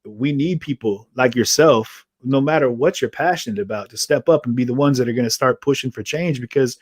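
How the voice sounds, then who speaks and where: A man's voice: mid-range voice, fairly quick, no room sound. A man talks through his points in long, earnest stretches, circling back and restarting as he goes. His voice sits in a middle register. The sound is dry and close, with no room around it. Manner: rambling, earnest, trails off and restarts. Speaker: podcast host. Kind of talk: conversational interview.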